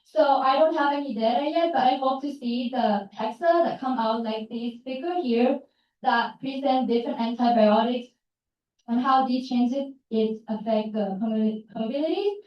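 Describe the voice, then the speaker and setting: A young woman from Thailand, giving a short student research talk and answering questions, halting and searching for words. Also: a little high. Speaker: student presenter. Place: large campus hall. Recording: echoey hall, distant, dull.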